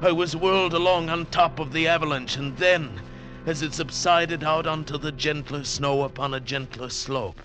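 An actor playing a mountaineer who recounts his ordeal in dramatic, deliberate phrases, in the style of mid-century radio drama. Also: fairly high pitch, natural voice, rough recording. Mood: urgent, breathless suspense. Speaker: narrator and lead actor. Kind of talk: 1940s-50s radio drama narration. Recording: vintage radio recording with music behind.

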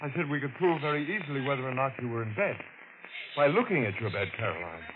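An actor playing a torn, doubting husband in an earnest, dramatic 1940s radio mystery style. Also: male voice, medium pitch, expressive, quick pace, close to an everyday voice. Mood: firm, challenging. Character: torn, doubting husband, firm under pressure. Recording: noisy, tinny old radio broadcast.

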